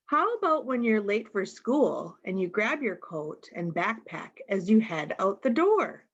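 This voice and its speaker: Female storytime reader for small kids: slow, expressive, direct address, middling pitch, somewhat muffled lo-fi recording. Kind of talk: picture book read-aloud for young children.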